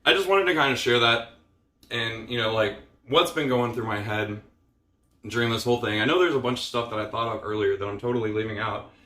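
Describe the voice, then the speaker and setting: A man's voice: medium pitch, brisk, pushed and bright, reverberant. A man talking casually into his camera in a frustrated, tired ramble, full of fillers and casual swearing. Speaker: vlogger. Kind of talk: casual personal vlog rant.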